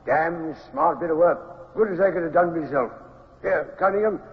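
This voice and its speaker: A pompous dignitary played by a man in an old radio play, declaiming in a high-pitched voice. The sound is dull, like a vintage broadcast. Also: normal speed, natural voice. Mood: bluff, pompous. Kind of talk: old-time radio acting.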